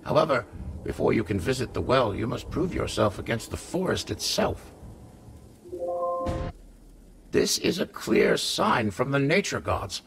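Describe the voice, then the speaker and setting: Old game chieftain: gravelly, proud, ceremonious, in long hall reverb. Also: male voice, mid-range voice, very animated, moderate pace, background noise. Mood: solemn proclamation. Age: older. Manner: solemn, ceremonious. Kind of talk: video game cutscene acting.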